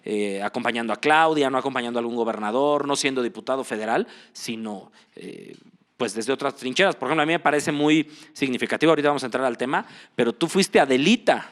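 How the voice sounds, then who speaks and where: Relaxed Mexican man hosting a chat. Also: medium pitch, quick pace. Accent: Mexican Spanish. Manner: relaxed, frequent fillers and restarts. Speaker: host. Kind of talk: casual interview chat.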